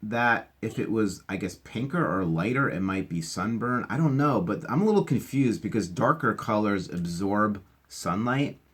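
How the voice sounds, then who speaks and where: A man's voice: lowish voice, expressive, moderate pace, few pauses, slightly rough. A man reading a picture book aloud, adding casual, enthusiastic explanations and asking the listener questions. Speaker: teacher reading aloud to children.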